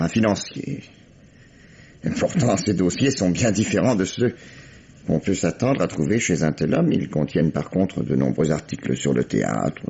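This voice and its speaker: A methodical male police commissioner from a radio mystery, on a dull, lo-fi vintage recording. His voice is very deep and husky. He questions courteously and deliberately, in formal phrasing. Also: darkish tone, exaggerated voice. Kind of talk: French radio mystery play acting.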